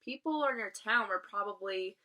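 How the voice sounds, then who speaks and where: A woman with a clearly bright voice reads a children's novel aloud at a middling pitch. She narrates the text and slips into casual asides that explain it to young listeners. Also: normal speed. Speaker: reader of a children's novel read aloud, explaining as a teacher would.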